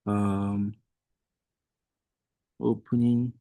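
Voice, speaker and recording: A man's voice: lowish voice, level tone, extremely slow, dark tone, no echo. A man whose first language is Igbo, explaining a screen-share tutorial step by step to a webinar group, patiently and with frequent restarts. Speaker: workshop trainer.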